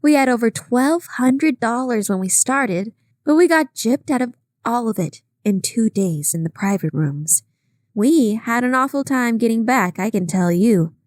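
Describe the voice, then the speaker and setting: A woman's voice: on the high side, lively intonation, measured pace, darkish tone, no room sound, clean studio sound. A woman reading aloud, voicing a chatty, gossiping young woman with a raised, breathless voice. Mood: chattering.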